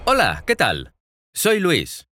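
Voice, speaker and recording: A man in his twenties with a Mexican accent, narrating clearly and in a friendly way for language learners, addressing the listener directly. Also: fairly high pitch, wildly swooping pitch, slow, over loud music. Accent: Mexican Spanish. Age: 20s. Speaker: podcast host.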